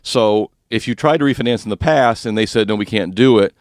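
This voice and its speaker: A man hosting a finance talk radio show, speaking with a slightly rough, husky voice and explaining mortgage rules in a chatty, joking way. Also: lowish voice, a little fast, dry recording, studio quality.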